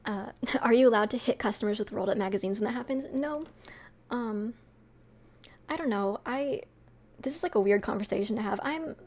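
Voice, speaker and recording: A young woman with a sweet voice, chatting casually with her stream viewers, rambling with lots of fillers and light laughs. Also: medium pitch.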